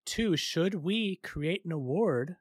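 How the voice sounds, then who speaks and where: A man co-hosting a casual gaming podcast, talking fast and animated with many fillers. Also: on the high side, no echo. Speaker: podcast co-host. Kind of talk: casual chat.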